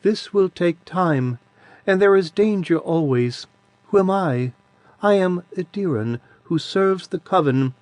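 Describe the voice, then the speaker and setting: A man's voice: sits high, expressive, a little slow, no room sound, voice pushed up. A man doing Adiran, a sweet-voiced ancient crone. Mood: eerie calm. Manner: soft and quiet. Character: ancient tiny crone of a coven, sweet childish voice. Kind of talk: audiobook character voice.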